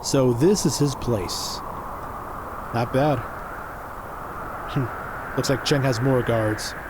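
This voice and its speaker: Man playing slow, cool magical fighter. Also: medium pitch, noisy background, natural voice. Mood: appraising. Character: cool, confident male fighter with magic powers. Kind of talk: audio drama acting.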